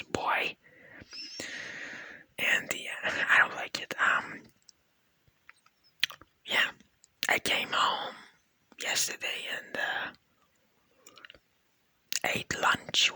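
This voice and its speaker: Young non-native English speaker, slow soft whisper, crisp and bright, dry close mic, rambling diary tone. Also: no room sound. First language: not English; Persian is a second language. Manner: soft unvoiced whisper, rambling and laid-back with many fillers. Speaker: university student, vlogger. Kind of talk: whispered ASMR diary vlog.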